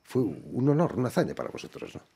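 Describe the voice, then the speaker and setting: A man with a Spanish accent, a radio interviewer asking measured questions and ending them with tag questions. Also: medium pitch, wildly swooping pitch, creaky, warm tone, husky. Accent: Spain Spanish.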